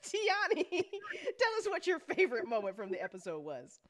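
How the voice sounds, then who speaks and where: A woman's voice: high-pitched, huge pitch swings, normal speed. A woman hosting a casual after-show chat, gushing and joking with plenty of swearing. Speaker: host, writer and voice actor.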